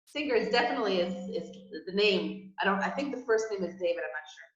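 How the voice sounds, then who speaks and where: A woman's voice: medium pitch, expressive, fast talker. A woman co-hosting a casual chat, giving earnest, reflective advice to clients and listeners. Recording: echoey, slightly muffled room.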